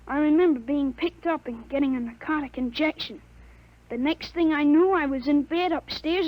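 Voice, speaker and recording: A woman playing a thirteen-year-old boy with a grown man's mind in a 1950s radio drama, speaking calmly and matter-of-factly on a dull, lo-fi old recording. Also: high-pitched, lightly acted. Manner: calm, matter-of-fact, self-assured.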